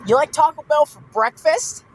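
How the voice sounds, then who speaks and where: A young man chatting as a passenger in a moving car, repetitive and often coughing and clearing his throat. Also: very high voice, normal speed, low-quality recording. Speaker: passenger filming a drive. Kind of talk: casual chat. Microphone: handheld phone camera. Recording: car road noise behind.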